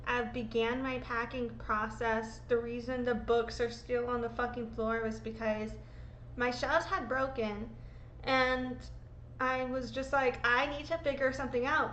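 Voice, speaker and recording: A woman's voice: a little high, a bit bright, muffled recording, a little hiss or hum. Sick woman rambling in an echoey room. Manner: emotional, profane, rambling. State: sick, sore throat, voice lower than usual. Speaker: vlogger. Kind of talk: casual rambling vlog. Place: home room being packed up.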